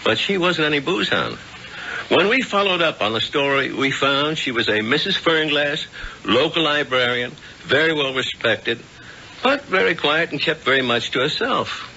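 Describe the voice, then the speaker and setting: An actor playing a hard-nosed police lieutenant, blunt and businesslike, in 1970s radio drama style. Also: male voice, fairly high pitch, animated, normal speed, sharp tone, full character voice. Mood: stern, determined. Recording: dull noisy old radio recording.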